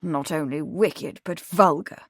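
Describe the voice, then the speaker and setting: A woman's voice: medium pitch, brisk, slightly rough, no room sound, studio quality, close to an everyday voice. A woman voicing an older aristocratic father in an audiobook, formidable, irascible and imperious, snapping his words. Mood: muttering, curt.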